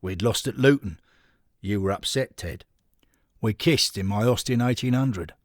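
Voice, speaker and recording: A man's voice: lowish voice, unhurried, no room sound, studio quality, voice pushed down, natural voice. A man voicing a wry, affectionate male football fan who teases his devoted partner in an audio story. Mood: teasing. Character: teasing, affectionate man, half of a devoted couple.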